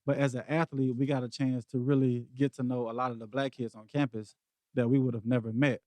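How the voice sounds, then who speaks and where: A man's voice: mid-range voice, fairly quick, dry recording. Easygoing guy in his 40s from memphis, storytelling on a podcast, dry close mic. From Memphis, Tennessee. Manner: relaxed storytelling, laughs easily. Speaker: podcast guest. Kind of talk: casual conversation.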